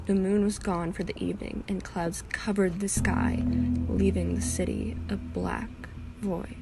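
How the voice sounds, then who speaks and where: A woman reading a story aloud as measured, scripted literary narration. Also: mid-range voice, little pitch movement, vocal fry. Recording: loud music behind voice.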